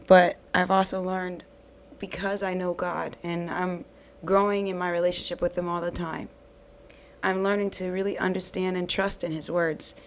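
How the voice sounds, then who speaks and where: A woman hosting a bible reading channel, talking earnestly and encouragingly to her viewers while her voice is hoarse from recent illness. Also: mid-range voice. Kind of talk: casual devotional talk to camera.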